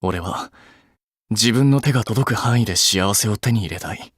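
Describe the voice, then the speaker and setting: A man's voice: fairly low pitch, moderate pace, breathy edge, no echo, clean studio sound. A man voicing an earnest, blunt but caring young idol in an anime game, speaking casually and a little awkwardly. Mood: earnest, serious. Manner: casual clipped polite speech, flustered hesitations.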